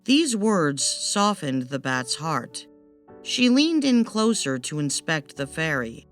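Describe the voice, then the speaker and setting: A woman's voice: low voice, big pitch swings, slow pace, faint music behind. A woman narrating a scripted fairytale as a storyteller.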